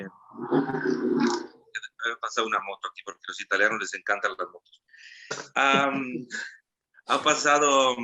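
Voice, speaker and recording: Chatty Guatemalan man, panel guest, bright forward voice, quick and self-mocking. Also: medium pitch, poor audio. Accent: Guatemalan Spanish. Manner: talkative, quick, self-deprecating. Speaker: panel guest, theater actor. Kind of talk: online panel discussion.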